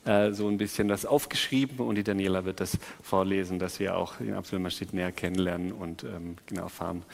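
Male host, lowish creaky voice, informal spoken introductions. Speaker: host of a church testimony event.

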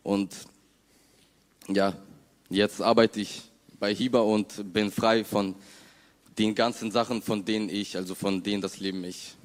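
A young man giving an unscripted personal testimony in informal, halting words. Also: on the low side, level tone. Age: young adult. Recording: long hall reverb.

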